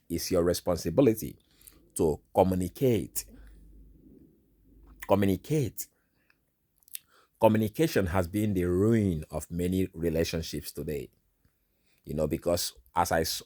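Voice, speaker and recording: A deep-voiced Nigerian man with Igbo as his first language, preaching at an unhurried pace. He recounts a dream in an informal sermon and leans on "all right" and "you know" as he talks. The sound is dry and close. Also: a little mellow, no echo.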